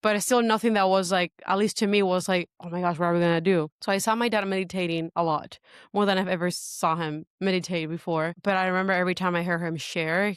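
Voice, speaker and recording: Venezuelan-accented woman guest, native Spanish speaker, smooth voice, dry close sound, earnest storytelling. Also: fairly low pitch, fairly even intonation, quick pace, no room sound. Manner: earnest, searching for words. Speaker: worship pastor. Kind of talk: podcast interview, personal story.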